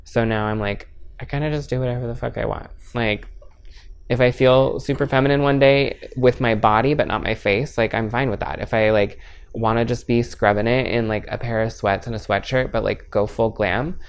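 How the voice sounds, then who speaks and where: A man's voice: mid-range voice, fairly even intonation, normal speed, smooth. A man in his twenties talking openly in a casual interview, easygoing and candid, with many filler words. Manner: chatty, frequent filler "like".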